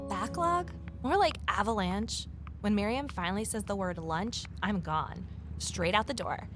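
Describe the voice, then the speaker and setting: Wry, animated actress as a curious woman. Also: mid-range voice, unhurried, over music, close to an everyday voice. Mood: wry narrating. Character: wry, curious female former journalist, new office assistant. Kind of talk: audio drama, first-person narration and dialogue.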